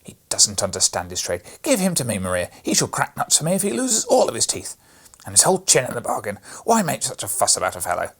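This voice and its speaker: A man voicing a rough, scornful young boy in a read-aloud storybook, speaking loudly. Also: mid-range voice, huge pitch swings, quick pace, heavily acted. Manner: loud, scornful.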